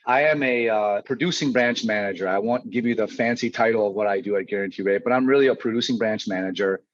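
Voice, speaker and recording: A man's voice: on the low side, fast. Storytelling male guest, slightly dull audio. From Chicago, United States. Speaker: podcast guest, mortgage branch manager and coach. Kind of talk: conversational personal storytelling.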